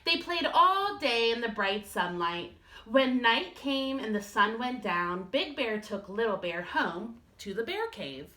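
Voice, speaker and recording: A woman teacher reading a picture book aloud to young children, expressive and speaking to them directly. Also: on the high side, measured pace. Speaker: first-grade teacher.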